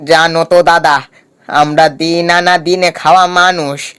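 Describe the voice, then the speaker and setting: A man voicing a poor male day-labourer in a cartoon story, speaking slowly and humbly. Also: on the high side, flat delivery, fairly bright tone, clear, resonant tone. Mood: pleading. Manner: pleading, slow.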